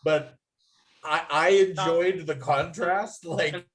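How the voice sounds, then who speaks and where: A man's voice: fairly high pitch, fairly even intonation, slow, crowd noise. A man chatting casually in a group, rambling and enthusiastic, often stopping to restart his thoughts. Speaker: writer leading a table-read discussion.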